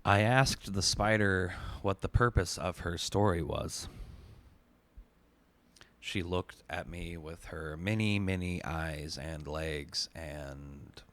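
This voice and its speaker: Deep-voiced man telling a dramatic spoken-word story. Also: slow, a bit bright. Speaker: narrator, essayist.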